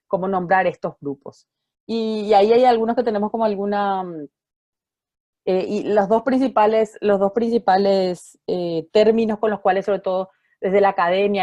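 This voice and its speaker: A hoarse woman still getting her voice back gives an informal lecture to a class over a video call. She works through her ideas with plenty of fillers and restarted phrases. The audio is dull and dry, with no room sound. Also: medium pitch, darkish tone, no echo. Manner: thoughtful, many fillers and restarts. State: hoarse, recovering from voice loss. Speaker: lawyer giving an online talk.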